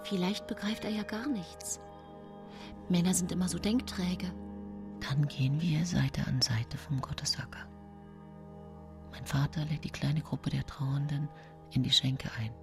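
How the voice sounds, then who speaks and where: An actress playing a scheming, self-pitying nineteenth-century murderess who tells her own case in a hushed, brooding radio play monologue. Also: low voice, unhurried, long pauses. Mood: calculating, secretive. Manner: hushed, brooding, often whispering. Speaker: radio drama actress narrating. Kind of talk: intimate first-person radio play monologue. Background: music.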